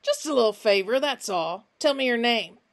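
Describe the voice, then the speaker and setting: A woman voicing a large, menacing stranger who puts on a false friendliness and talks loudly and mockingly. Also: a little high, animated, normal speed. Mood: coaxing. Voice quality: intelligent but unfriendly voice. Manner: loud, projecting, mocking.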